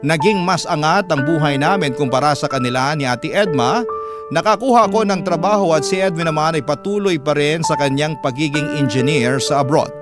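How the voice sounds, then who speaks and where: A Filipino man reads a listener's letter as dramatic radio storytelling. His voice is a little high for a man, and music plays clearly behind it. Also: a little fast. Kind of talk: dramatic scripted narration.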